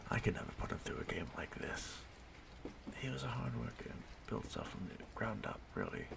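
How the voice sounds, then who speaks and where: Over a noisy home recording comes a man performing an ancient immortal stalker from an audio roleplay. He is courteous, wistful and softly menacing, drawing out his halting musings in a deeply creaky murmur. The pitch wanders freely, and phrases often sink into whispers. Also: huge pitch swings, full character voice. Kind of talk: slow intimate audio roleplay monologue.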